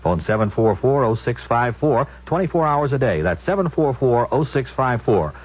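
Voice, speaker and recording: A man with a husky voice reading a scripted radio commercial as an announcer. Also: medium pitch, sing-song, normal speed. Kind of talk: scripted vintage radio ad read. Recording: muffled vintage radio broadcast.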